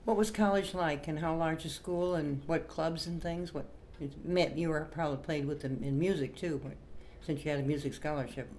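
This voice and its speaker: A woman's voice: deep voice, moderate pace, darkish tone. Woman interviewer on a noisy recording. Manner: prompting with simple questions. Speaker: interviewer, oral history librarian. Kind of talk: oral history interview. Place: interviewee's home.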